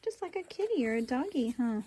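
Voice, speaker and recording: A woman's voice: sits high, sing-song, brisk, mellow, slight background noise. A woman reading a children's book aloud expressively, adding chatty asides to young listeners. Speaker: storybook reader.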